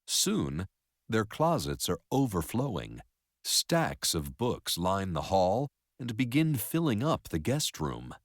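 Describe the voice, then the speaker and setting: A man narrating a story in an expressive educational read-aloud style. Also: on the low side, slow pace, no echo, clean studio sound.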